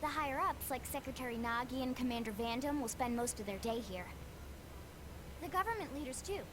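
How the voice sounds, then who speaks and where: A woman's voice: high voice, lively intonation, fairly quick, background noise. An actress playing an eager, chatty young female recruiter in a video game cutscene, with a bubbly, pushy manner. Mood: enthusiastic explaining.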